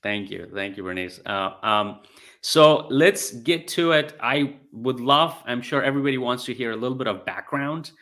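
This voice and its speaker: A man hosting a conversational interview, speaking in a halting, hesitant way. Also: medium pitch, a bit bright. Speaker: interview host.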